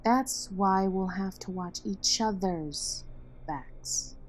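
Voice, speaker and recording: An actress playing a street-smart young woman vigilante in an audio drama, assertive and teasing in a measured way, with wry confidence. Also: fairly high pitch, darkish tone. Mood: earnest, urgent.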